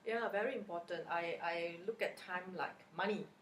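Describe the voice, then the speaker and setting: A woman's voice: fairly low pitch, normal speed, fairly bright tone. A woman with a Malaysian accent giving casual interview answers as a college lecturer, often chuckling between phrases. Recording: roomy echo, background noise.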